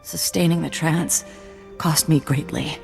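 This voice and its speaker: A man playing a weary, wise mentor in a video game, speaking in a measured, solemn way. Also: a little high, steady tone, gravelly, soft background music. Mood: weary, grave. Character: weary, wise male Jedi master, calm and grave. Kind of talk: dramatic video game acting.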